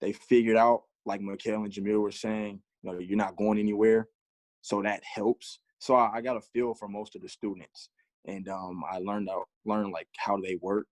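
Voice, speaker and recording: A man's voice: on the low side, flat delivery, moderate pace, a little mellow, no room sound, rough recording. Easygoing man in his twenties, dry sound. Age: 20s. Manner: easygoing, reflective, light laughs. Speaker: physical education teacher, guest. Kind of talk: conversational interview answer.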